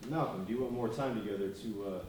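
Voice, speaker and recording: A deferential young aide, played by a man on stage, talking quickly and politely. The sound comes from far off in a very echoey hall. Clear noise runs behind it. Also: on the low side, natural voice.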